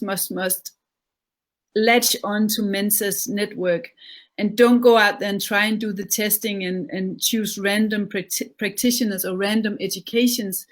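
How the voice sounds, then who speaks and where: Lady hosting a live chat, mid pitch, chatty, keeps repeating words. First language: Danish. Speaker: interview host.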